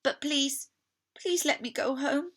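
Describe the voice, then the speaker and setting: A woman's voice: high voice, slow, fairly bright tone, pitched above the performer's own voice. A woman voicing an innocent, kindly young girl in a storybook, speaking in a hesitant, earnest, pleading way.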